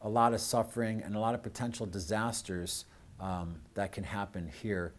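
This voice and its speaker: An American man speaking as host of a community show, addressing his audience off the cuff in an earnest, halting way with drawn-out pauses. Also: lowish voice, fairly even intonation. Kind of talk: unscripted closing address to audience with thanks.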